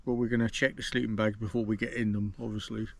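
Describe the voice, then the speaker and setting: A man's voice: on the low side, level tone, fast, gravelly, darkish tone. An English man hosting a casual camping vlog outdoors in the woods, reviewing a drink and explaining at length with many restarts. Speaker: vlogger host.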